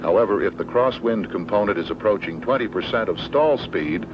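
Low-voiced American training film narrator, male. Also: expressive. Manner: measured, matter-of-fact, formal. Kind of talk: scripted instructional narration, 1970s training film. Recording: dull, noisy old 16mm film soundtrack.

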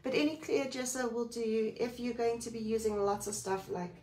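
A woman hosting a live Bible journaling stream, chatty and friendly, greeting viewers by name, a little croaky today. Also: fairly high pitch, normal speed, dark tone. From South Africa. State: slightly croaky. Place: indoors at a craft table. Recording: distant mic, faint room noise.

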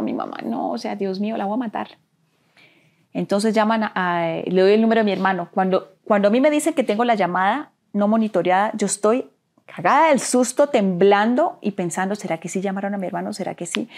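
Earnest Colombian woman, hushed and halting. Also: mid-range voice. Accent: Colombian Spanish. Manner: earnest, halting, repeats words, drops to near-whisper at heavy moments. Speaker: interview guest. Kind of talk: emotional personal storytelling.

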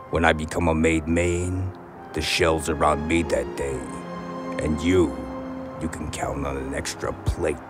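Man playing a very deep-voiced cocky mobster. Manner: brash, foul-mouthed wiseguy. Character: cocky, ambitious young male mob associate. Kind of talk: comedic crime audio drama acting.

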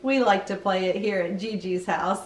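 A middle-aged woman hosting a children's read-aloud, chatting in an animated, sing-song way to young viewers. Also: mid-range voice, normal speed, echoey room. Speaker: children's storytime host, grandmother. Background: music.

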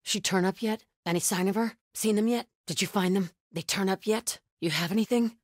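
A woman's voice: mid-range voice, brisk, no echo, clean studio sound, natural voice. An actress playing a fierce, devout female cult soldier in a video game, calling out short clipped combat lines. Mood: tense, searching. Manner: short clipped callouts, from hushed to shouted. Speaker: video game enemy character.

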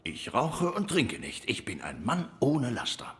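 A bored dog policeman from a noir detective game. His pitch leaps about constantly as he rambles, insistent and self-righteous about his tidy life. Faint music plays behind him. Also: wildly swooping pitch, measured pace. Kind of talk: video game voice acting, film noir.

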